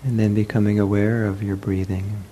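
A man teaching a guided meditation in gentle, soothing short phrases with long pauses. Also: fairly low pitch, flat delivery, a little hiss or hum, rough recording.

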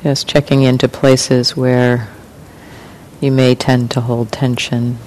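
A woman meditation teacher leading a guided meditation in calm, gentle, unhurried phrases with long pauses. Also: rough recording. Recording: clear rumbling background noise.

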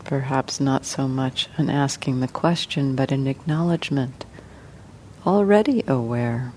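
A woman meditation teacher leading a guided meditation, speaking slowly and gently with long pauses. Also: extremely low pitch, a little hiss or hum, poor audio.

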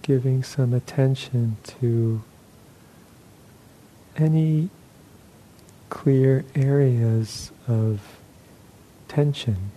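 Guy guiding a meditation, really slow and calm, bit of low rumble behind him. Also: poor audio. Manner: calm, gentle, measured phrases with pauses. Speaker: meditation teacher. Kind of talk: guided meditation instruction.

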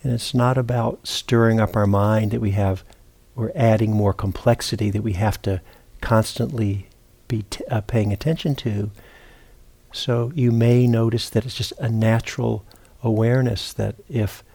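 Low, mellow man guiding meditation haltingly. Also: steady tone, gravelly. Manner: halting, unhurried, with long pauses and repeated words. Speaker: meditation teacher. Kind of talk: guided meditation instruction. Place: meditation retreat center.

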